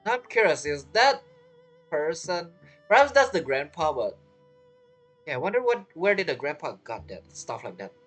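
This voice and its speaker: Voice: medium pitch, very animated, normal speed, a bit bright. A game streamer giving casual gameplay commentary, reacting and thinking aloud. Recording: faint game music behind.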